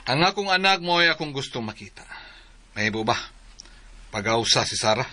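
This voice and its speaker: A proud father in a Filipino radio drama. He has a Cebuano accent and a bright, forceful, emphatic voice at a middling pitch. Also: animated, fairly quick. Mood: indignant. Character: indignant, forceful widowed father confronting another parent.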